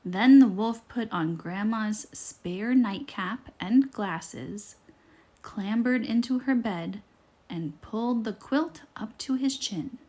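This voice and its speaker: A woman reading a scripted children's fairytale aloud in a deliberate, expressive storytelling manner. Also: mid-range voice.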